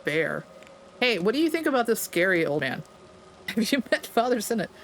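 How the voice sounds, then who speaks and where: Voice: mid-range voice, sing-song, moderate pace, fairly bright tone, a little hiss or hum. A let's play gamer casually commenting and muttering asides while reading out questions.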